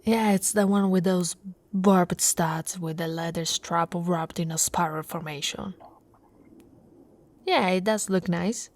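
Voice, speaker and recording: Woman voicing a slow-talking, affectionate orc warrior wife. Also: lowish voice, steady tone, darkish tone. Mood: amused, boastful. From Italy. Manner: intimate, teasing, unhurried, often dropping to a whisper. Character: brawny affectionate female orc warrior wife, playfully boastful. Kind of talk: ASMR roleplay.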